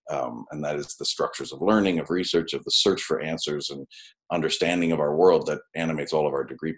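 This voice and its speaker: Super deep voiced guy hosting a uni panel on a video call, slow formal, lots of uhs. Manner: measured, many ums and uhs. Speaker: graduate school dean hosting a panel. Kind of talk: formal welcome remarks.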